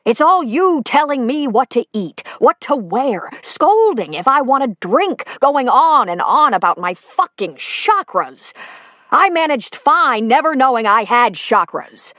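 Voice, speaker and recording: A woman's voice: sits high, very animated, measured pace, fairly bright tone, dry recording, pitched above the performer's own voice, full character voice. Woman doing a fed-up husband, blunt. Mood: angry. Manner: blunt, exasperated, swearing. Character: fed-up middle-aged husband walking out.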